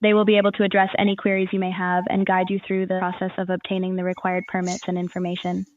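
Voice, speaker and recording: A woman's voice: lowish voice, completely flat delivery, quick pace, dry recording, phone-quality sound. A woman's voice as an AI assistant, giving scripted, formal informational answers in a customer-service manner.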